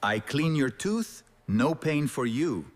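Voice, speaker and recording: A man voicing a kind, patient cartoon dentist who speaks calm, simple broken English. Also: medium pitch, takes it slowly, in a large hall. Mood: reassuring.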